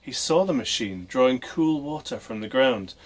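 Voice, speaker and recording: A man reading a story aloud for children, with expressive, deliberate phrasing. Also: mid-range voice, rough recording. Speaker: storybook reader and presenter.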